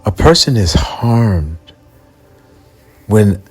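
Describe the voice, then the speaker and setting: A man narrating a bedtime story for children as a guide, in a measured, deliberate delivery with pauses mid-sentence. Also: fairly low pitch, lively intonation, darkish tone, breathy edge. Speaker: bedtime storyteller and mindset coach. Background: background music.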